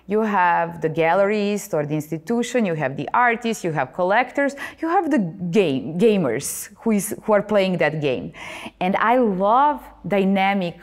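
A woman in her thirties with a Serbian accent, a visual artist talking animatedly in an interview, with many fillers and restarts. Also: medium pitch. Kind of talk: interview monologue. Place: boxing gym, indoors.

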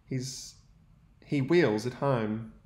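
A young adult male teacher, reading a picture book aloud to young students and pausing to ask them questions. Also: medium pitch, reverberant. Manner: gentle, expressive storytelling with pauses to ask questions.

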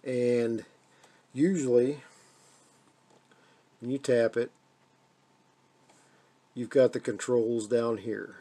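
Mellow-voiced American man demonstrating gear. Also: medium pitch, steady tone, extremely slow, pauses often. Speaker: radio hobbyist demonstrating a receiver. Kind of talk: casual hands-on video demonstration. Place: indoors at a radio desk.

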